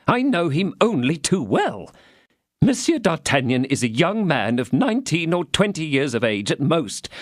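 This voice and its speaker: A man voicing a frightened, fawning tradesman who pleads in a shrill, flustered voice. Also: dry recording, studio quality. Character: frightened fawning middle-aged male mercer.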